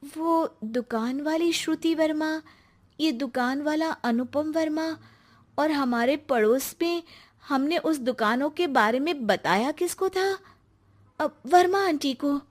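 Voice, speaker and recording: Woman playing young cartoon wife, high voice, outspoken, complaining, argumentative. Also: takes it slowly. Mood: animated.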